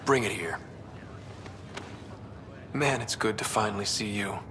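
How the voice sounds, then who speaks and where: A man's voice: lowish voice, lively intonation, unhurried, bright, forceful tone, slight background noise. An actor playing a determined, wry young man who leads explorers in a sci-fi video game. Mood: gently warm. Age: young adult. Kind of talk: naturalistic video game cutscene acting. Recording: long echoey reverb, faint music behind.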